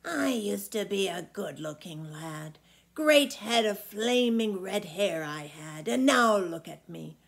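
A woman voicing a gruff old male giant from a storybook, vain, boisterous and commanding. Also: mid-range voice, expressive, slow pace. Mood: wistful.

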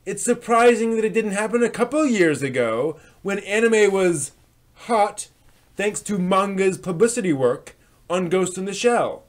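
A man's voice: high-pitched, animated, a little slow. A man in his thirties hosting a dramatic reading of old online posts with spoken asides, theatrical and emphatic, recorded in a small room at home. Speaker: host.

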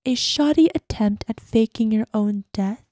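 Woman acting a high, soft obsessive girlfriend. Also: normal speed, no echo, clean studio sound, natural voice. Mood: possessive, threatening. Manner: breathy asides, drops into whispers, syrupy pet names. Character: obsessive possessive girlfriend, sweetly menacing, panicking over missing lover. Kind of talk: ASMR roleplay voicemail monologue.

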